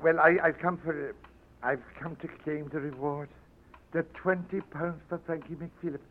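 An actor playing Jippo, a slow-witted and guilty Irish man with an Irish accent, halting and stammering, in a 1940s radio drama. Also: fairly high pitch, fairly even intonation, close to an everyday voice. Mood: nervous. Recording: dull old radio broadcast with faint hiss.

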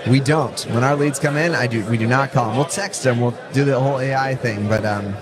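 Interview host, mid pitch, loud crowd all around. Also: male voice, brisk. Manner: short friendly affirmations. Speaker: podcast host. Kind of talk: casual interview. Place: busy conference floor. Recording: noisy crowded event hall. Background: loud crowd chatter.

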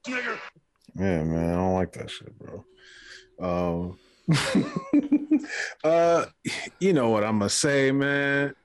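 A man's voice: medium pitch, wildly swooping pitch. Profane man in casual chat. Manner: profane, laughing, bursts of fast talk. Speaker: podcast co-host.

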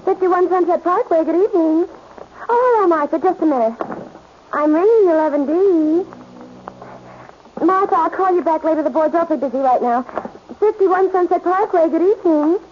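An actress playing a chatty, busy apartment switchboard operator with a singsong, brisk telephone manner in 1940s radio style. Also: very high-pitched. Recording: dull, noisy old radio recording.

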